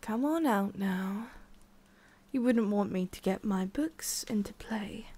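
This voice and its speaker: An imperious tsundere witch, centuries old and disdainful of humans, performed by a woman. She speaks slowly at a middling female pitch, addressing the listener directly in an ASMR roleplay. Her manner is condescending and scolding, with theatrical pauses. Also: mellow, slightly rough. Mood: menacing.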